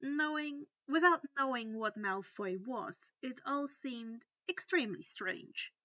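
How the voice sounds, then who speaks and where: Bright-voiced woman narrating slowly. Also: sits high, dry recording, muffled recording. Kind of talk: scripted narration of fan fiction.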